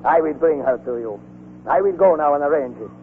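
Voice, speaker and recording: Man acting as effusive Italian officer, affectionate, animated, 1940s radio drama, thin hissy recording. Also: on the high side, brisk, natural voice. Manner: exuberant, affectionate, wordy. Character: effusive, teasing Italian army officer friend. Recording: muffled, noisy 1940s radio broadcast recording.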